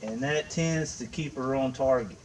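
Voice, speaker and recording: A man casually talking to viewers while shooting at an outdoor range, thinking aloud in a folksy, halting way. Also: medium pitch. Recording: distant voice over loud outdoor noise.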